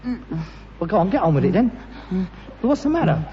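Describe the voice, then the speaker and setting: An actor playing an exasperated, pushy, self-important son with business pretensions, with a London accent, in a British sitcom. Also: male voice, high-pitched, big pitch swings, moderate pace. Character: eager, scheming son with big business pretensions. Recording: muffled, noisy old sitcom recording, reverberant.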